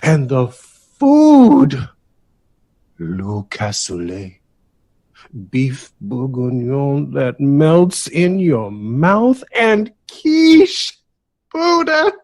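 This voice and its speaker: Theatrical, excitable young man, swooping pitch. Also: drawn-out words, full character voice. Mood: excited, gushing. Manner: gushing, dramatic, pointed. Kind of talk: audio drama acting.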